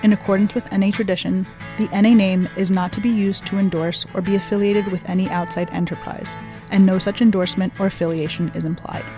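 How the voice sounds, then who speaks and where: A woman host reading a formal podcast intro and disclaimer in an even tone. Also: medium pitch, quick pace. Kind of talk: scripted announcement. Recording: announcement over guitar music. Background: guitar music.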